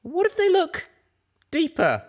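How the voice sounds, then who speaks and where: A man's voice: very high-pitched, huge pitch swings, measured pace, voice pushed up, full character voice. A man voicing a young female pony adventurer, anxious and curious, in a story reading. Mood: worried.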